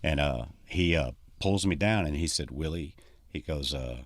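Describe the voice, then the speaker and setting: A man with a deep voice, a touring country musician chatting as a podcast guest, telling stories in a rambling way full of "you know". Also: normal speed, dry recording, clean studio sound. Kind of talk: casual podcast conversation.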